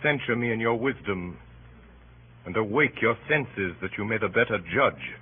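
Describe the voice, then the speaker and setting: An actor playing a solemn, honorable Roman senator giving measured classical oratory in a radio drama. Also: male voice, fairly low pitch, lively intonation, husky. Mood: impassioned appeal. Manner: deliberate, measured rhetoric. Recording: tinny old radio recording, faint noise.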